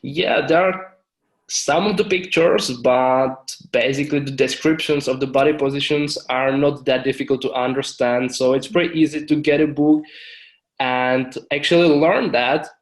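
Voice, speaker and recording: Czech-accented man, 20s, guest expert, careful halting phrasing, mid pitch. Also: fairly even intonation, low-quality recording. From Czech Republic. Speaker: guest, body language expert. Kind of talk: casual interview talk.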